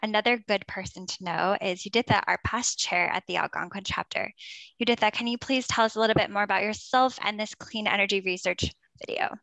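A woman hosting a live online panel, introducing speakers and videos in a friendly, brisk way. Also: medium pitch, vocal fry, fairly bright tone, no echo, poor audio. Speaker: event host.

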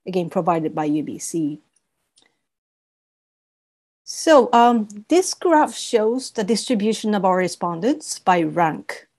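A woman's voice: medium pitch, darkish tone. A woman from East Asia, a native Japanese speaker with a Japanese accent, giving a scripted academic presentation as a professor. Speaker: university professor presenting research. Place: video call.

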